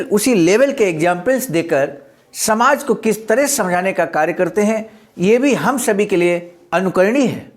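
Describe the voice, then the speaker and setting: Mid-pitched presenter, speech reading, explanatory commentary, didactic delivery, emphatic repetition.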